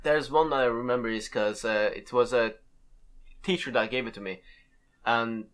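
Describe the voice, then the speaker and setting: Loud, laughing podcast host. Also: male voice, mid-range voice, fairly even intonation, normal speed. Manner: loud, laughs often, asks questions. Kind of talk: casual podcast chat.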